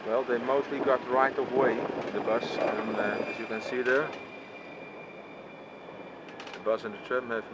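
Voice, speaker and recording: A man's voice: mid-range voice, level tone. A man with a Dutch accent gives a casual, musing commentary to himself while driving through town, filmed from the dashboard. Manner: slow, musing, talks to himself in short remarks. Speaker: vlogger filming from a car. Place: inside a car in city traffic. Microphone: camera mounted on dashboard. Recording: noisy car interior with engine.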